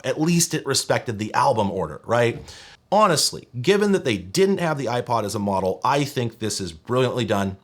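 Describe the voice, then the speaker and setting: Animated man explaining tech. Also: medium pitch. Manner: emphatic, wry, opinionated. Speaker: presenter of a retro tech review channel. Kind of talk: semi-scripted explainer video narration.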